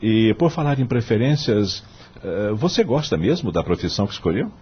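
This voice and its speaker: An actor playing a wise, kindly male law professor in a radio drama, with a Brazilian accent and a husky voice, measured and moralizing. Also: fairly low pitch, big pitch swings, lo-fi sound, heavily acted. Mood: kindly, reassuring. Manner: didactic, measured, moralizing, rhetorical questions.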